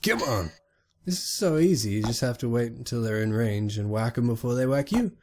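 A sick young man giving casual, excitable let's play commentary on a retro game, swearing often and talking to the characters on screen. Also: mid-range voice, fairly quick, background noise. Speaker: gaming YouTuber.

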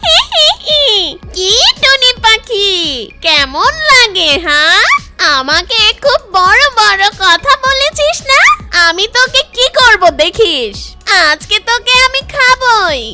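A woman's voice: extremely high pitch, very animated, slow, loud music behind the voice, voice pushed up, full character voice. A woman voicing a gloating, menacing water witch in a children's cartoon story, cackling and taunting.

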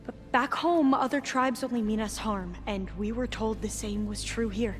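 Actress as an earnest, higher-voiced young female scholar. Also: normal speed, natural voice. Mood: reverent, careful. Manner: formal, careful diction, reverent. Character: earnest young woman scholar of a seafaring tribe, reverent. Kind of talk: video game dramatic acting.

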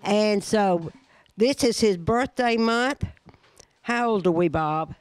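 A woman's voice: mid-range voice, takes it slowly, darkish tone, smooth. Older woman host, friendly folksy emcee patter on a handheld mic in a community hall. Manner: folksy, calls people honey. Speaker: senior center host. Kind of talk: casual emcee chat. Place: large indoor community room.

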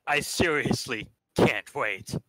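A man's voice: medium pitch, takes it slowly, bright, forceful tone, no room sound, poor audio. Man narrating in a dry, close recording. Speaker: narrator in a staged reading. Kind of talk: casual dramatic read-aloud of visual novel narration.

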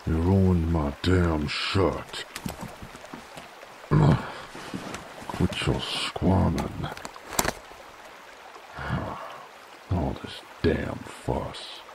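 A gruff werewolf bounty hunter for an audio drama. He drawls slowly in an American Southern accent, folksy and threatening, with a very low, gravelly voice. A stream trickles clearly behind him. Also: noisy background, full character voice. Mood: exasperated, angry. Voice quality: very deep, gravelly. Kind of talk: roleplay audio acting, drawling cowboy talk. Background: flowing water ambience.

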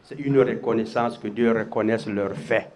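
A man's voice: lowish voice, fairly even intonation, normal speed, background noise. An older man with a Guinean accent giving a public tribute speech into a handheld microphone. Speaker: community elder giving a speech. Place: public gathering in a covered hall.

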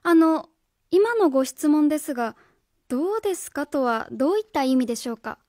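A woman's voice: high-pitched, expressive, brisk, darkish tone, no room sound, studio quality. A woman playing a stern, earnest young schoolteacher in a radio drama, formal, polite and probing. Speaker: voice actor.

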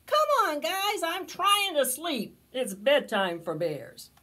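A woman's voice: high voice, huge pitch swings, takes it slowly, sharp tone, pitched above the performer's own voice, heavily acted. A woman voicing a sleepy, grumpy storybook bear who grumbles and protests. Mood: exasperated.

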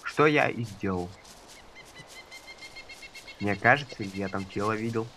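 A man with a bright, forceful and slightly low voice chats casually as he games with others. He is a little unwell. There is faint noise behind him. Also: measured pace. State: slightly ill with a cough. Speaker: gamer in a group session. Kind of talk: casual gaming chat.

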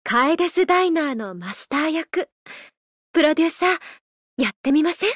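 A woman voicing a gentle, playful idol who loves a drink, speaking politely and teasingly in a mobile game. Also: sits high, animated, dry recording, studio quality. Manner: polite, unhurried, teasing, softly flirtatious. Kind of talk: Japanese mobile game character voice lines.

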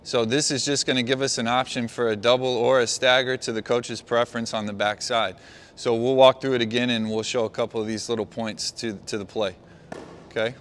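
A middle-aged man, a basketball coach, walking his players through a play on the court and calling out quick praise. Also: mid-range voice, fairly bright tone, a little hiss or hum. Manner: talks players through a walk-through, calls out praise. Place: large indoor gym.

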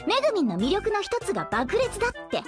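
Anime audio drama role: vain, whiny goddess, very high voice, wildly animated, haughty. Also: female voice, over music, full character voice. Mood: smug, scheming. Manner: haughty, dramatic, quick to plead.

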